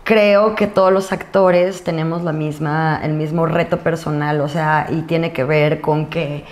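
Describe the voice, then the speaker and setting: A Mexican woman in her thirties chatting casually as an interview guest, informal, with drawn-out words and pauses. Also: sits low, fairly even intonation. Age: 30s. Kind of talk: casual chat. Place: indoor studio set at a desk. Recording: roomy sound, noticeable background noise.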